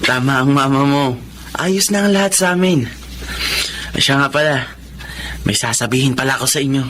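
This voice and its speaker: A man's voice: mid-range voice, normal speed, fairly bright tone, slight background noise, natural voice. Man acting an earnest young Filipino husband. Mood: earnest, hopeful. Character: earnest, hopeful young working husband and father-to-be. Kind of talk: Filipino radio drama acting and narration.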